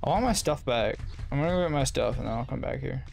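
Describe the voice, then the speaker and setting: A man's voice: mid-range voice, animated, fast talker, gravelly, darkish tone, slight background noise. A man giving casual gameplay commentary as a streamer, muttering to himself in slangy, sweary talk. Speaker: streamer playing a video game.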